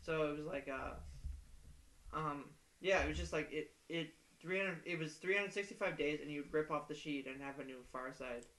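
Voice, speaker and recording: Excitable young man with highish voice. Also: normal speed, slight background noise, poor audio. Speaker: podcast host. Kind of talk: casual chat.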